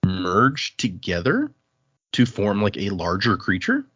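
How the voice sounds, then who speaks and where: A man's voice: fairly low pitch, huge pitch swings, slow, a bit bright, husky, no room sound, muffled recording. A man hosting a podcast, chatting informally as he recaps a book and reads bits of it aloud. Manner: conversational, informal. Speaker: podcast host. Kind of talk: casual chat recapping and reading from a novel.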